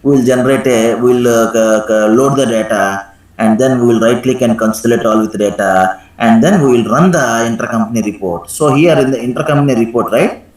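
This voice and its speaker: A man teaching a live software tutorial step by step, instructing and often checking in with a questioning "right?". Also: medium pitch, fairly quick. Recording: echoey room sound.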